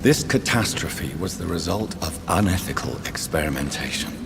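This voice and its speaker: A man acting an educated, brooding gentleman vampire doctor in a video game, speaking in a grave, formal, probing manner. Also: sits low, lively intonation, moderate pace, gravelly, background noise. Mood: grave conviction. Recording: music and rain behind the voice.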